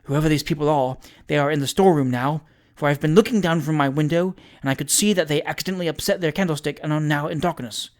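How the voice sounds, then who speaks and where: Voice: medium pitch, fast talker, hardly any pauses, natural voice. A narrator voicing a meddling male busybody, an eager and indignant eavesdropper who talks in urgent whispers. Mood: delighted. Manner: energetic hushed whispering.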